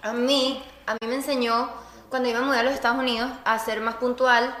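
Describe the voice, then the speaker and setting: A woman with a Venezuelan accent hosts a relaxed group conversation, telling anecdotes and acting out what others said. Her voice sits a little high. The recording rings with room echo. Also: brisk. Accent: Venezuelan Spanish. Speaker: podcast host. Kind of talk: casual group chat.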